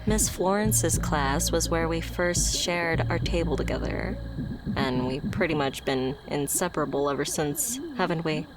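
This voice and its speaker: A woman playing a shy, socially awkward young friend in a romantic ASMR roleplay, speaking softly and hesitantly with stammers, tender and easily flustered. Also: deep voice, a little slow, noisy background. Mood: wistful, gently teasing. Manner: soft, hesitant, stammering, sighing. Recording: prominent music behind the voice. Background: music.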